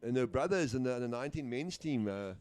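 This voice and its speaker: Guy doing sports commentary, laid back, lots of uhs, mellow voice. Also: mid-range voice, expressive, quick pace, smooth. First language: Afrikaans. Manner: easygoing, chatty, with frequent uhs and name slips. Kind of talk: live play-by-play commentary.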